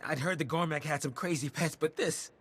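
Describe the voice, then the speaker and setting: A man voicing a weary wounded soldier in a video game, earnest and halting as he reports. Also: a little high, a bit bright, slightly rough. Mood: shaken, weary. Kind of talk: video game cutscene acting.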